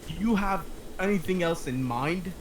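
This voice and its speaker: A man's voice: high voice, animated, normal speed, slight background noise. A man playing a flustered, frustrated, sweary criminal in a script table read. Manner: frustrated, complaining. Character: Ray, flustered sweary male criminal twin, driving.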